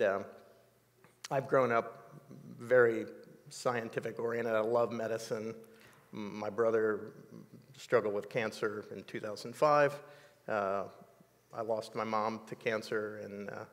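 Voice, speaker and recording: A man in his sixties telling a personal story in an interview, measured and reflective, with many fillers, on a dark studio set. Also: fairly low pitch, big-room sound. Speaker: interview guest.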